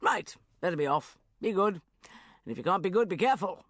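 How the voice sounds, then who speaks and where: A woman voicing a loud, brash older uncle, roaring and hearty. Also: on the low side, animated, a little fast, no room sound, studio quality.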